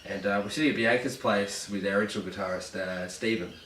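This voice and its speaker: A man's voice: lowish voice, fast talker, a bit bright. A man with a nasal, stuffy voice, chatting casually in a band interview, joking and rambling with lots of fillers. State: stuffy from hay fever. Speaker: band bassist. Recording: echoey room, distant mic, faint noise.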